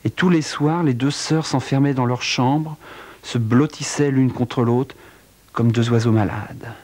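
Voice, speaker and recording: A man telling a dark true-crime tale in a wry, theatrical way, with a cold. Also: steady tone, measured pace. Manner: wry, theatrical storytelling with big pitch swings. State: has a cold. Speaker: narrator. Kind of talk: dramatic spoken narration.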